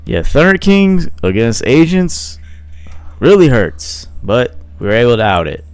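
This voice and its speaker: A man's voice: mid-range voice, big pitch swings, measured pace, clean tone, no room sound, soft background music. A man giving casual play-by-play commentary on a card game match, thinking aloud with frequent fillers. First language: not Italian.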